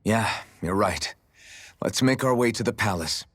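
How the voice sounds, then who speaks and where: Low-voiced, thoughtful young swordsman. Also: lively intonation, background noise. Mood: agreeing. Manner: measured, reflective. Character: thoughtful, fair-minded young male fantasy swordsman. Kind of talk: video game dialogue acting.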